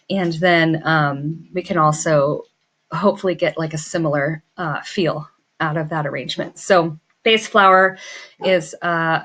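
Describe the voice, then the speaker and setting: A woman with a slightly low voice talks through material as an instructor in an informal, unscripted way. Her delivery is halting and hesitant, as if she is working out her wording while she explains. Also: fairly bright tone. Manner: hesitant, halting, thinking aloud. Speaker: instructor, florist business owner. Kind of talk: informal screen-share walkthrough, unscripted explanation.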